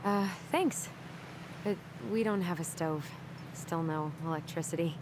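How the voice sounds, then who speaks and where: An actress playing a thoughtful, gentle young woman, hesitant and naturalistic, in a video game. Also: lowish voice, a little slow, noisy background, natural voice. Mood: polite, reserved. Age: young adult.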